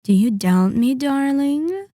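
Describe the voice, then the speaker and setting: A woman voicing a centuries-old, sadistic and possessive vampire in an intimate ASMR roleplay, speaking in a deliberate, taunting, commanding manner. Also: sits high, lively intonation, dark tone, no echo, studio quality. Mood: coaxing, seductive. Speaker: audio roleplay performer.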